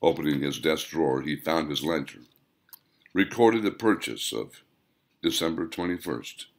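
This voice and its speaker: A man's voice: rumbling low, expressive, moderate pace, vocal fry. A man reading an audiobook story in a dramatic, descriptive storytelling manner. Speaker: author reading own audiobook. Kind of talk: scripted audiobook narration.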